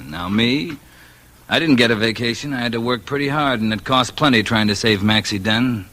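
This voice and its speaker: An actor playing a smooth gangster boss in 1940s radio crime drama style, genial on top with understated menace. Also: male voice, medium pitch, moderate pace, bright, forceful tone, lightly acted. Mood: falsely genial.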